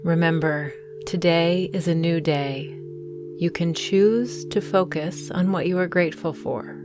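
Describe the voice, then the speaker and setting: A woman guiding a mindfulness meditation in a calm, soothing, measured way, leaving long pauses between phrases. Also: lowish voice, music in the background. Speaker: meditation guide. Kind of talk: guided mindfulness meditation narration.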